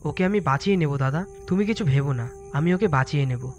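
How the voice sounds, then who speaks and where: An actor playing an agitated, bossy young village husband in an animated story, speaking urgently and emphatically. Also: male voice, fairly high pitch, fast, natural voice. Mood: urgent, coaxing. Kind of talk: dramatised storybook animation dialogue. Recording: music under the voice.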